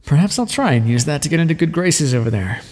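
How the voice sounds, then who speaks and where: A man playing an aloof, teasing vampire scientist in an ASMR roleplay, speaking wryly and formally with a gravelly voice. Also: fairly high pitch, expressive, fast, darkish tone, in a large hall. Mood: wry concern. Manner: wry, condescending, formal wording with pet names. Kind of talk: ASMR roleplay, scripted intimate monologue to the listener.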